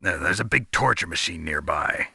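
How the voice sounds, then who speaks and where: Casting: battle-hardened video game soldier. Very low, gravelly, blunt and cynical. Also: male voice, animated, normal speed, bright, forceful tone, dry recording, studio quality, exaggerated voice. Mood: low, serious. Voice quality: gravelly, raspy. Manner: terse, gruff, blunt. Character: gruff, hardened male soldier, cynical and terse.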